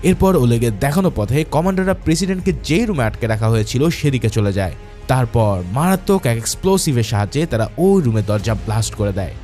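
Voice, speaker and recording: A man's voice: mid-range voice, very animated, quick pace, warm tone. A man narrating a movie recap from a script in a casual, dramatic storytelling way, addressing viewers informally. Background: background music.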